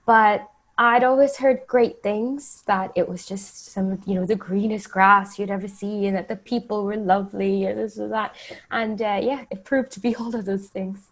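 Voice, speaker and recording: A woman from the Bahamas with a mid-range voice, talking as a guest in a casual interview. She tells personal stories in an animated, conversational way. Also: medium pitch, moderate pace.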